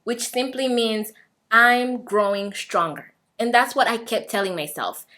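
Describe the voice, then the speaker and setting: Young woman, Swahili native speaker, slightly high voice, sincere encouraging vlog chat. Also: measured pace, sharp tone. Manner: earnest, encouraging, many fillers and restarts. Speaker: fitness vlogger. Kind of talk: casual talk to camera.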